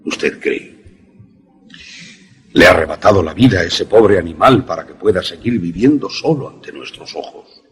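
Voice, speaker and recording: Husky, animated old professor played by a man. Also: low voice, full character voice. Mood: troubled, rueful. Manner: didactic, deliberate, emphatic explanations. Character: older male professor, obsessive inventor, didactic and troubled. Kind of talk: Spanish-language suspense radio drama acting.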